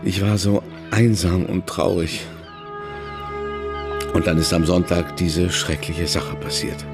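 An actor playing a lovesick, remorseful older novelist in a German radio drama, confessional and pleading. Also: male voice, low voice, fairly even intonation, takes it slowly. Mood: anguished confession. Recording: music behind the voice.

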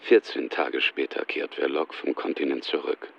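A man's voice: extremely low pitch, steady tone, moderate pace, gravelly, muffled recording, slight background noise. A man narrating a radio drama from a script, precise and matter-of-fact.

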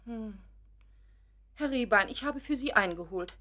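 A woman's voice: a little high, expressive, measured pace, sharp tone, exaggerated voice. An actress playing a sentimental, timid woman devoted to her neighbour in a German radio drama, earnest, anxious and tender. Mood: earnest, wistful.

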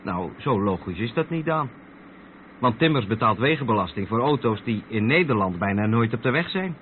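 Casting: male actor as seasoned lorry driver, medium pitch, patient explainer, Netherlands Dutch accent; narrow, noisy old radio drama recording. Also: animated, normal speed, natural voice. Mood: patient, explaining. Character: experienced long-haul trucker patiently explaining to his younger mate. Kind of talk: Dutch radio play acting. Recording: muffled old radio play, vehicle noise.

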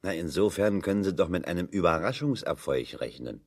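A man's voice: low-pitched, animated, a little fast, slightly rough. An actor playing a down-to-earth working-class man in a German radio drama, dry, plain-spoken and slyly needling. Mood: dryly needling.

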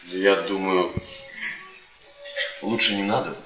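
An actor playing a polite, uneasy young married lecturer in a radio play, terse and soothing. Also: male voice, deep voice, steady tone, takes it slowly, a bit bright, recorded from a distance, soft background music. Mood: guarded politeness. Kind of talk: Soviet radio theatre acting. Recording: echoey, noisy old radio play recording.

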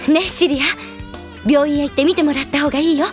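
High-voiced, caring young anime woman, played by an actress. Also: fairly even intonation, fast talker, soft background music, natural voice. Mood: coaxing, cheerful. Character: caring, brisk young woman, loyal teammate. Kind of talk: Japanese anime audio drama acting.

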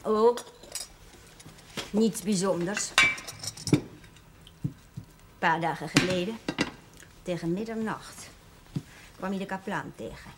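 An actress playing a sly village woman passing on gossip in a slow, hushed way. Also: mid-range voice, very noisy, close to an everyday voice. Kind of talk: 1970s television drama acting.

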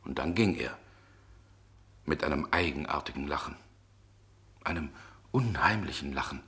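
Brooding middle-aged gentleman, very low but sharp forceful voice, old radio play style. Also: expressive, normal speed, full character voice. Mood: troubled. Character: brooding, world-weary Russian gentleman, middle-aged former lover. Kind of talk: 1960s German radio drama acting.

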